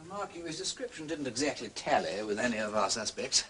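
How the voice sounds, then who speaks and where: An actor playing an urbane, breezy gentleman investigator in a period mystery drama, with a conversational manner. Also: medium pitch, big pitch swings, fast talker, rough recording. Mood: urbane, unsurprised.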